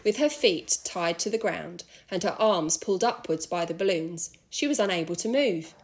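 A woman reading a children's story aloud in an expressive narrating style. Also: lowish voice, moderate pace. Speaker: school staff member reading aloud.

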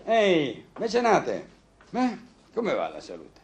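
A man's voice: a little high, huge pitch swings, slow pace, heavily acted. A man acting a jovial, philosophical middle-aged doctor who banters in a wry, florid way, in a vintage radio drama. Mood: teasing.

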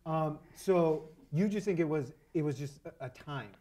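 A middle-aged American man hosting an onstage interview, asking probing questions in a halting, conversational way. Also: fairly high pitch, mellow. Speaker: interviewer, podcast host. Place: on stage in a small dark theater.

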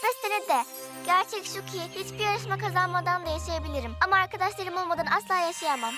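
A very high, childlike cartoon baby who fibs and protests with exaggerated innocence. The little puppeteer sounds boastful and evasive, over prominent children's music. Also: female voice, fast. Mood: confessional. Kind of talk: dubbed children's cartoon acting.

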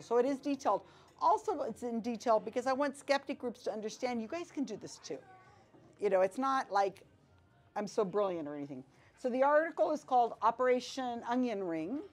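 A middle-aged woman speaking casually to an audience in a small pub room, animated and conversational with many asides. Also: a little high. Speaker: guest speaker. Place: small wood-paneled pub back room.

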